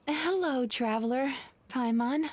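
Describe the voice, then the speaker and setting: An actress playing a long-suffering, exasperated young woman in a video game, tired and pleading. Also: high voice. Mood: tired greeting.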